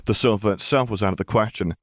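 A man's voice: fairly low pitch, quick pace, no echo, clean studio sound. A man reading a story aloud as scripted narration. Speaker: audiobook reader.

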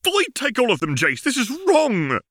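A man voicing an angry, sharp-tongued woman with a biting, indignant manner in an audiobook story. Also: very high voice, wildly swooping pitch, moderate pace, no echo, clean studio sound, voice pushed up, heavily acted. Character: angry, incredulous woman in her prime, sharp-tongued officer.

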